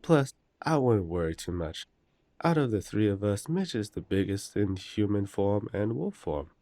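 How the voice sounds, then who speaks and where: A man playing a courteous, protective eldest werewolf brother in an audio roleplay, gently authoritative and polite. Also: on the low side, very animated, normal speed, no room sound. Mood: gentle, reassuring. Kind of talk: roleplay audio drama acting.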